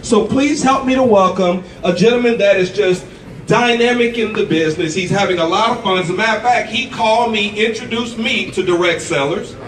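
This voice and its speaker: A man hosting a sales event, introducing speakers with an energetic, salesy delivery. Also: sits high. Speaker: event host. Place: event venue with a crowd. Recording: echoey hall, distant mic, loud background.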